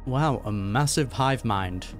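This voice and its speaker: A man's voice: mid-range voice, slow. Casting: male gaming reaction host, mid-range voice, wry and informal, faint game music behind him. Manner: slangy, wry. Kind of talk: casual reaction commentary.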